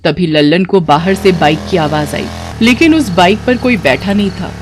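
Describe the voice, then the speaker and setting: A woman's voice: on the low side, animated, normal speed, noisy background. A woman telling a scripted dramatic story as its narrator.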